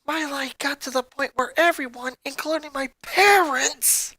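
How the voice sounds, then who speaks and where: A woman acts out a young boy with a very high voice, hurt and bitter, pouring out his grievances with emphatic emotion. The sound is dry and close, like a dramatic story reading. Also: unhurried, pushed and bright, no room sound. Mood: angry. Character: angry, hurt young boy venting about his family. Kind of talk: dramatic fan fiction character reading.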